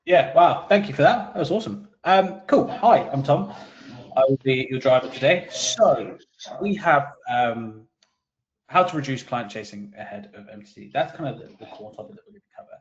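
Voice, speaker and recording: A man hosting a webinar panel, bright and playful as he introduces the speakers. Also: mid-range voice, animated, moderate pace. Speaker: webinar host. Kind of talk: panel moderation. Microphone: video call. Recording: dull lo-fi web call audio.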